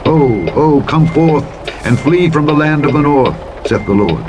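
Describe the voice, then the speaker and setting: A man reads the King James Bible aloud as a narrator, in a solemn, deliberate, declamatory style with measured phrasing. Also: a little high, lively intonation, noisy background. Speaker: scripture narrator. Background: truck driving sounds.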